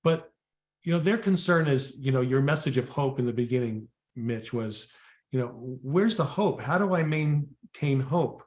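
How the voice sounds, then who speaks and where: A man's voice: medium pitch, lively intonation, normal speed, darkish tone. An American man co-hosting a conversational political discussion, speaking emphatically in repeated phrases and rhetorical questions. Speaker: co-host, political activist. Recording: echoey room sound.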